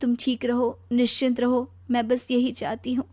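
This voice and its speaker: A woman's voice: on the high side, monotone, normal speed, darkish tone, lightly acted. A woman voicing a demanding, coaxing young wife in a story, emphatic and cajoling. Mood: earnest, caring.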